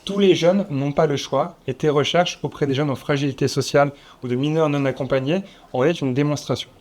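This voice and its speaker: A man moderating a round table before an audience, speaking in a measured, slightly formal way with occasional fillers. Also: a little high, darkish tone. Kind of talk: formal event introduction. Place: conference room with audience.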